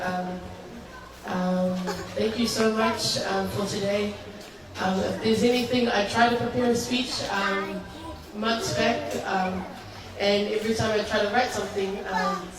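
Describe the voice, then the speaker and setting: A woman in her early twenties with a New Zealand accent, giving a hesitant, informal, heartfelt thank-you speech at a party. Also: mid-range voice. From born in Samoa, grew up in New Zealand. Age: early 20s. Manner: hesitant, unscripted. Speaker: birthday celebrant giving thanks. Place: large echoey room at a party. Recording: echoey noisy hall, distant microphone.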